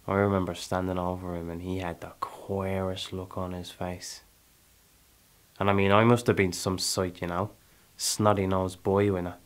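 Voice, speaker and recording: A fairly low-pitched Irish man, hardened and restless as a male actor plays him in a theatrical monologue. He is a proud, brooding settler with blood on his hands. He speaks deliberately, leaving pauses and putting questions to his listener. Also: natural voice.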